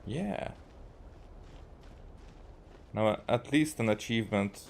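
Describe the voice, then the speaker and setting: A man from Hungary with a Hungarian accent gives casual, halting gameplay commentary, half to himself as he streams. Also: fairly low pitch, lively intonation, gravelly, darkish tone, slight background noise. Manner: halting, muttering to himself while playing. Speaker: game streamer. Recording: game music behind. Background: video game music.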